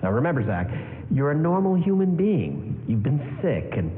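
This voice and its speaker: A man's voice: fairly high pitch, very animated, moderate pace. An actor playing a fatherly, encouraging army hospital doctor in old-time radio style. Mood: reassuring. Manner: encouraging, advising. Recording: phone-like broadcast from reverberant hall.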